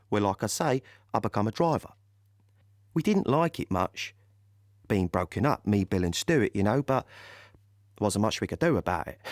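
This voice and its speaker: A man plays a young working-class soldier in a wartime audio drama. He is a cheerful, chatty army driver with a very animated, mid-pitched voice and loose, colloquial grammar. The recording is close and dry. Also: dry recording, close to an everyday voice. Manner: colloquial, ungrammatical, chatty storytelling with chuckles. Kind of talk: dramatic monologue in an audio play.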